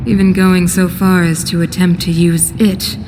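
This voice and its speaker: An actress playing a solemn, bitterly ironic mother and ruler in a video game, speaking in a grave, measured, theatrical way. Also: lowish voice, little pitch movement, close to an everyday voice. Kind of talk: dramatic video game cutscene acting. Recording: long hall reverb, background noise.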